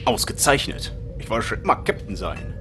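A man's voice: fairly low pitch, big pitch swings, moderate pace, music in the background. A man playing a cheeky spaceship lieutenant in a comedic sci-fi audio drama. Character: cheeky male spaceship lieutenant, second in command.

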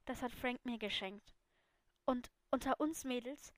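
A woman's voice: a little high, unhurried, no echo, lightly acted. A woman voicing a cheerful teenage schoolgirl in a story, a best friend with a confiding, girlish manner. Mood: confiding, excited.

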